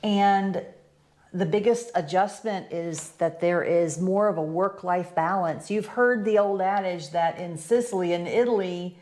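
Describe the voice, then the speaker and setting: Older American woman, co-host, chatty and upbeat; slightly low pitch, easy conversational delivery. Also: measured pace, a little mellow. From United States. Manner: chatty, upbeat, leads the questions. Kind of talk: casual Q&A chat.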